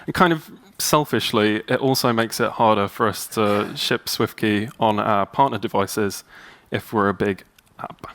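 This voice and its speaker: A man's voice: fairly low pitch, measured pace, fairly bright tone. A man giving a chatty, upbeat conference talk on stage into a handheld mic. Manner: upbeat, chatty, many ums. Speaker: conference speaker. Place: stage in a large hall.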